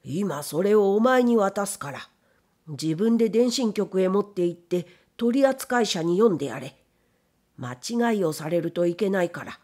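A woman's voice: medium pitch, lively intonation, unhurried, a little mellow. Stern old male boss, played by a woman narrator, blunt, imperious, old-fashioned, storybook character. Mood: businesslike, commanding. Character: stern old male factory owner, commanding and probing. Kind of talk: audiobook character reading.

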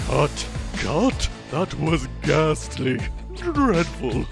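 Slow, creaky, scornful drama teacher performer acting. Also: medium pitch, big pitch swings, heavily acted. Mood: scornful, demanding. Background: music.

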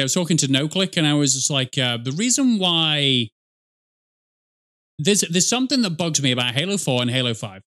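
Higher-pitched man giving rambling game commentary. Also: fairly bright tone. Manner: rambling, self-correcting, sweary. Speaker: reaction video host. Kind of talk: casual game reaction commentary. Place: small indoor room at a desk with headset.

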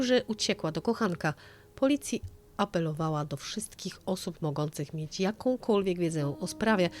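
A woman with a fairly low voice narrates a true-crime story in the manner of an audio drama. Soft music plays behind her reading.

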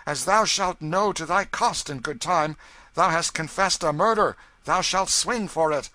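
Guy doing a indignant little king kid from a storybook, grand old-fashioned talk, voice pushed up high, sharp. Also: unhurried, no echo. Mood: stern threat. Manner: princely, grave, archaic speech. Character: proud, indignant boy king among vagabonds.

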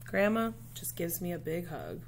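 A woman with a slightly low voice reads a children's picture book aloud. She phrases it deliberately and dramatically, as a teacher does for young listeners. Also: sing-song, vocal fry, slight background noise.

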